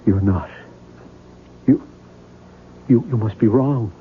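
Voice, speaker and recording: An actor playing a tormented, stubborn novelist in a 1970s radio drama, husky-voiced, intense and halting. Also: male voice, on the low side, slight background noise. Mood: agitated. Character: tormented, obsessive male novelist, stubborn and brooding. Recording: muffled, narrow-band vintage radio recording.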